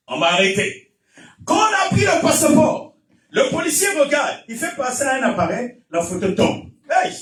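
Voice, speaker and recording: A man with a Congolese French accent preaching a fervent sermon, emphatic and repetitive, often shouting his exhortations. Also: very high-pitched. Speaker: pastor preaching. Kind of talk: fervent Pentecostal-style sermon with testimony and call-and-response. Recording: echoey church PA, distant, music behind.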